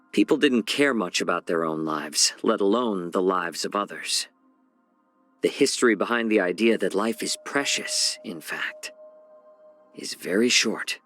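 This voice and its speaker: Game role: genial fox-man chef and physician, smooth, slightly low, wry and measured, dry close sound. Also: dry recording. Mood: earnest. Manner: measured, wry, gently teasing. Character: genial, sly fox-man healer and chef, soft-spoken advisor. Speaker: video game character. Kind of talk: scripted game character voice lines.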